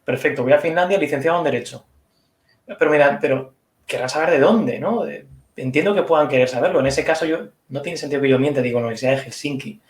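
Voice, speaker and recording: A man's voice: fairly high pitch, fast talker, roomy sound. A man answering questions as a speaker at an online conference, thoughtful and hedging, explaining with examples.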